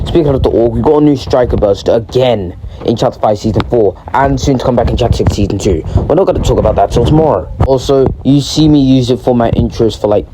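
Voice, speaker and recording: A young man who is ill and sounds deeper than usual, talking casually and excitedly about a video game, often correcting himself. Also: background noise. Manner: rambling, excitable, self-correcting. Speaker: gaming video creator. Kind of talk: casual gaming commentary.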